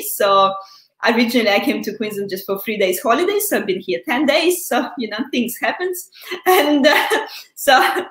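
A woman's voice: a little high, a bit bright, roomy sound. A young woman hosting a live stream interview over a video call, chatty and upbeat with frequent fillers. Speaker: host. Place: small room indoors. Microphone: earphone headset on video call.

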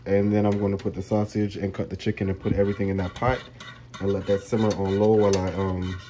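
A man in his thirties talking casually through a home cooking recipe, relaxed and unhurried with frequent ums. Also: sits low, background noise. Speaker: home cook vlogger. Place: home kitchen, indoors. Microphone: phone camera, handheld and propped. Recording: music playing loud behind.

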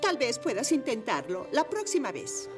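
A woman's voice: on the high side, wildly swooping pitch, moderate pace, music in the background. A woman playing a kindly, formal and playful motorcycle schoolteacher in a children's cartoon dub, announcing things theatrically. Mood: gentle consoling.

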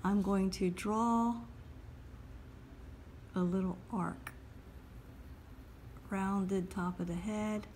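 A woman calmly talking through a step-by-step drawing lesson as she sketches at a table. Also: medium pitch, a little hiss or hum. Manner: calm, unhurried, talks while drawing. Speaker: drawing instructor. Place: at a table indoors.

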